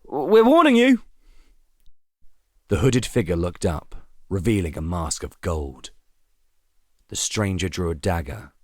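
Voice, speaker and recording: A man's voice: low voice, measured pace, darkish tone, no echo, studio quality. A man reading a dramatic scripted story as its narrator.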